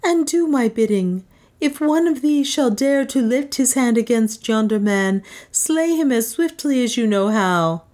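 A woman's voice: fairly high pitch, animated, unhurried, a little mellow, voice pushed up. A woman reader voicing a commanding young woman who poses as a goddess, declaiming in an imperious manner.

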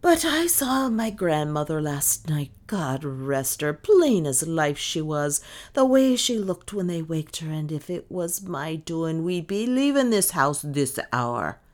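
A woman's voice: low-pitched, very animated, takes it slowly, exaggerated voice. A woman voicing a superstitious, gloomy, stubborn old Irish maidservant with a dramatic, insistent delivery in an audiobook. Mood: grim, doleful. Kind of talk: audiobook character dialogue.

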